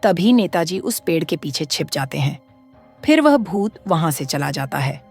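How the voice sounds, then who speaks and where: A woman narrates a cartoon horror story with a lively, expressive delivery. Her pitch moves a lot, and faint music plays behind her. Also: moderate pace, creaky, slightly rough.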